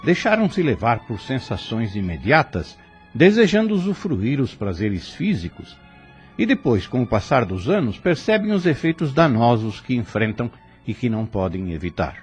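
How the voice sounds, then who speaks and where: A man's voice: medium pitch, big pitch swings, moderate pace, soft background music. A man reading scripted moral commentary in a solemn, preacherly manner. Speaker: spiritist commentator. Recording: dull, lo-fi sound.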